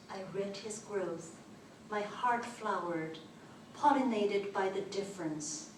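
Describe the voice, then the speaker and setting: A woman whose first language is Chinese reads her poetry at an open mic, taking each line slowly and carefully. She sounds far from the microphone in an echoey library room, with clear background noise. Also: mid-range voice. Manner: slow, careful recitation. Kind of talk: poetry reading.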